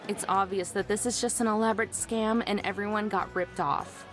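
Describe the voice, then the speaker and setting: An actress playing a dry, cynical young woman with a deadpan, dismissive delivery in a visual novel game. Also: mid-range voice, moderate pace, over music, lightly acted.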